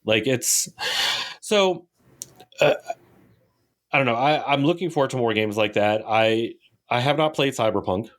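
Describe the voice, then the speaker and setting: Mid-pitched man in loose, casual chat. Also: measured pace, long pauses, a bit bright. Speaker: podcast co-host. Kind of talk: casual conversational video game chat.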